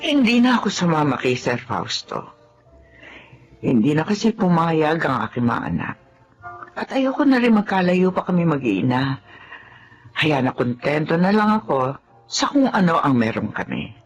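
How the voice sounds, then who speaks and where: Expressive middle-aged Filipino housemaid. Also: female voice, low-pitched, moderate pace, long pauses, dull audio, soft background music. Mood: calm, content. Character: long-serving loyal housemaid and mother, humble, hurt, forgiving. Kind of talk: Filipino radio drama acting and first-person narration.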